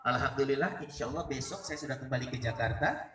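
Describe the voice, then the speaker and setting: A man telling anecdotes in an expressive, theatrical way to listeners. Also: mid-range voice, quick pace. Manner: expressive, acts out dialogue. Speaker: storyteller speaking to an audience. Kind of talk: animated anecdotal storytelling. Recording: roomy, distant mic with faint noise.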